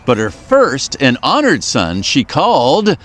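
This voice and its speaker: A man reading a children's folktale aloud in a very expressive, playful storyteller manner. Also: mid-range voice, takes it slowly, soft background music.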